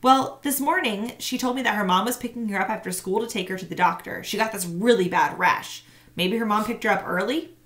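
A woman reading aloud, voicing a nervous schoolboy who dodges questions, in a raised, higher voice. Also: fairly quick, fairly bright tone, roomy sound, close to an everyday voice. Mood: evasive. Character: nervous schoolboy, defensive and evasive.

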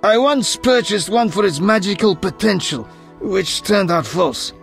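An actor playing a haughty, rich, fat merchant in a fantasy game, with a theatrical delivery. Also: male voice, high-pitched, lively intonation, measured pace, vocal fry, fairly bright tone, faint music behind, full character voice. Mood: smug, bargaining.

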